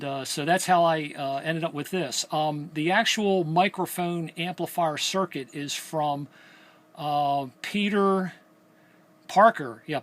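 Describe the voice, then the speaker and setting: A middle-aged man, a radio hobbyist chatting casually and explaining things in a hesitant, halting way at his workbench. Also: fairly high pitch, a bit bright. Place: indoors at a home electronics workbench.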